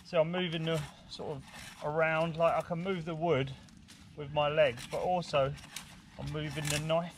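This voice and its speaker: A man in his forties with a slightly high voice talks through a practical bushcraft task. His delivery is informal, like someone explaining while working with his hands. He is recorded outdoors in woodland, with some background noise. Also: flat delivery, moderate pace. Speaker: bushcraft instructor. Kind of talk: casual hands-on demonstration.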